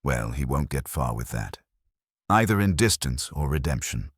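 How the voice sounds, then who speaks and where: A man voicing a grave, thoughtful police inspector in an audio drama, speaking in a dry, measured way. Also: extremely low pitch, animated, slightly rough, no echo, exaggerated voice.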